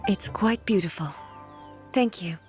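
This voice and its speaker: A woman playing an ancient, composed shogun ruler with formal, measured phrasing in a video game. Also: medium pitch, darkish tone, soft background music, lightly acted. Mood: calm, gracious. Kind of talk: scripted video game voice acting.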